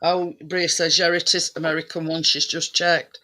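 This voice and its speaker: A man with a very bright, sharp voice pitched on the high side. He chats casually and rambles, breaking off and circling back as he hunts for the right word. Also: level tone. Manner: rambling, halting, searching for words. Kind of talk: casual chat.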